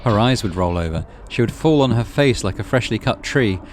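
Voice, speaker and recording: A man's voice: fairly low pitch, expressive, a little fast. A man narrating a visual novel aloud as a gaming channel host, in a dramatic storytelling style. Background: music.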